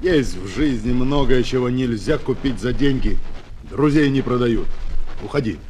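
An actor playing a dignified, firm father with a measured, solemn manner in a children's audio drama. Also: mid-range voice, a little mellow, natural voice. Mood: grave, firm. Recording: old flexi-disc, faint noise.

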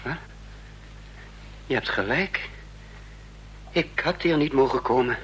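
An actor playing a tense young man in hiding, speaking and narrating haltingly in a Dutch radio drama. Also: medium pitch, a bit bright. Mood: agitated. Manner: halting, deliberate. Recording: noisy, humming old radio recording.

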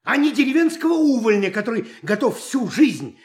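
A man's voice: very high-pitched, big pitch swings, a little fast. An actor playing a vain, argumentative middle-aged TV commentator and father in an audio play, pompous and given to repeating himself. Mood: indignant. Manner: pompous, stammering repetitions when arguing. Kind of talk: Russian audio play acting.

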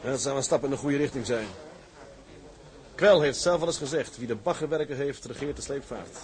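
A man acts out a proud, shrewd sea captain in a period drama. He speaks in a mid-pitched voice, firm and businesslike. He sounds like someone determined to get his own ship and used to pressing his case. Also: moderate pace, a little mellow, lightly acted. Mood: confident. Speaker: actor playing a sea captain. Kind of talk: acted period drama dialogue.

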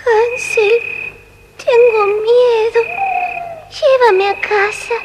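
A woman's voice: very high voice, fairly even intonation, drawn-out words, heavily acted. A woman voicing a timid little girl in a children's audio fairy tale, plaintive and childlike. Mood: fearful, plaintive. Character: timid, frightened little girl, younger sister. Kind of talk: dramatized children's audio storybook acting. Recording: noisy old storybook recording.

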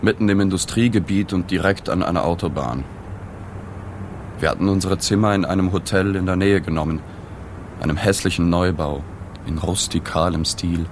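An actor playing a sober, observant journalist in a German radio drama, speaking in a plain, understated way. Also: male voice, sits low, moderate pace, a little hiss or hum. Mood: detached, melancholy. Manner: plain, understated, matter-of-fact. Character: reserved, sober male journalist, observant and detached. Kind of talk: radio drama dialogue and first-person narration.

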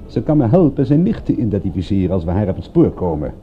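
An actor playing a wry, world-weary private detective in a radio drama, sardonic, with a gravelly, husky voice. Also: male voice, lowish voice, very animated, fast, a little hiss or hum. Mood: breezy, teasing. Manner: sardonic, dry-witted. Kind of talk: radio drama narration and dialogue. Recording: muffled, phone-like old radio recording.

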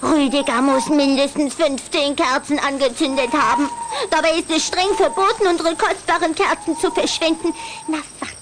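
An actress playing a bossy but affectionate little vampire girl in a children's audio play, dramatic and quick to scold. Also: high voice, fairly even intonation, background noise, lightly acted. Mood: alarmed, scolding.

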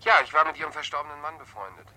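An actor playing an obliging, casual doctor friend in a German radio crime drama, heard distantly over the telephone. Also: male voice, fairly high pitch, rapid-fire, sharp tone. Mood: friendly, puzzled. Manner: casual, accommodating. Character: obliging, puzzled male doctor, old friend. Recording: noisy, distant telephone line.

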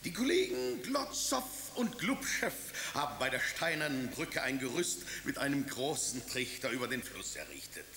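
An actor playing a servile, obsequious court servant in a radio play, with flowery, overly formal speech. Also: male voice, medium pitch, big pitch swings, moderate pace, hardly any pauses, pushed and bright, roomy sound, slight background noise. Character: fawning male court servant, ornate and servile. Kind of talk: radio drama acting.

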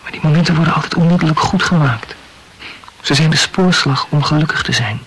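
An actor playing an evasive, self-absorbed lover in an intimate radio play, reasoning wordily in a breathy voice. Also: male voice, a little high, fairly quick, background noise, natural voice. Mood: musing. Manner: wordy, rationalizing.

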